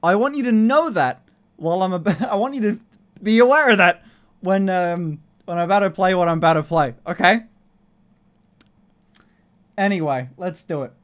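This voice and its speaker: A woman's voice: lowish voice, normal speed, pauses often. A young woman streaming from her bedroom, chatting casually, swearing and laughing often. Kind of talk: casual chat. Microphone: desk mic on arm.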